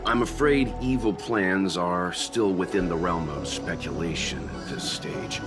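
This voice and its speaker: An actor playing a composed, scholarly mentor in a video game, measured, courteous and analytical. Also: male voice, low voice. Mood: calm, reasoning. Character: composed, scholarly adult man, calm reasoning mentor. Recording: music under the voice.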